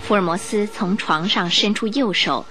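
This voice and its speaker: A woman narrating a radio drama in Mandarin, as an expressive, measured storyteller. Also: mid-range voice. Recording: music behind the voice.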